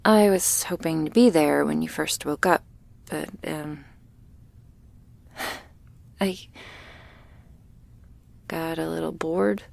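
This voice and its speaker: A woman playing a shy, gentle vampire girl in an ASMR roleplay, speaking softly and hesitantly, awkward but reassuring, often slipping into a whisper. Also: deep voice, no room sound, full character voice. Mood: soothing, apologetic. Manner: hesitant, halting, soft-spoken with breathy whispers. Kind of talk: ASMR roleplay addressed to the listener.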